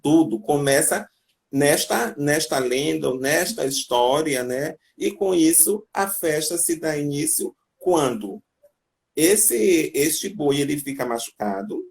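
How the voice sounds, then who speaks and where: Gravelly man explaining folklore. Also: a little high, animated, slow. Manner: rambling, explanatory, many tag questions. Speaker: tourism host. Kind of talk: casual live-stream explanation.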